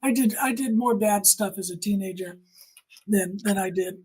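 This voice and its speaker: Older female interview guest, mid-pitched and husky, emphatic, telling her own story. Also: normal speed, darkish tone. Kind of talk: personal testimony in conversation.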